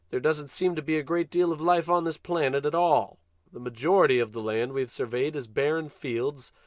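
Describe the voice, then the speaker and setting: An actor playing a pompous, dim, blustering spaceship captain in an old-time radio comedy, commanding and self-important. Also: male voice, mid-range voice, no echo, clean studio sound, close to an everyday voice.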